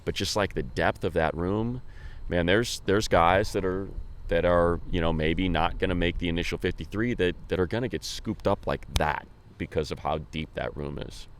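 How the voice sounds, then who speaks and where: A man's voice: low voice, moderate pace. A man co-hosting a sports show, giving casual, colloquial commentary and rambling as he thinks aloud. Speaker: sports co-host and play-by-play announcer. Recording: faint background noise.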